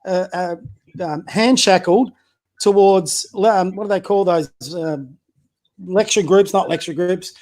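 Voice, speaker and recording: A man with a high-pitched voice, a community basketball coach giving blunt, opinionated views as a podcast guest. He fires off rhetorical questions and often stumbles and restarts. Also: a little slow, darkish tone. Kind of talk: casual political chat.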